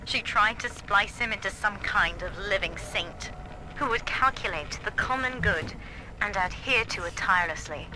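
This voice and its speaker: A woman's voice: medium pitch, moderate pace, background noise, close to an everyday voice. A woman playing an earnest, defiant young woman in a video game, speaking in a solemn, intense and articulate way. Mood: grave defiance. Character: earnest, defiant young woman rebelling against her mother. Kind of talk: dramatic video game narration. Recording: loud music behind the voice.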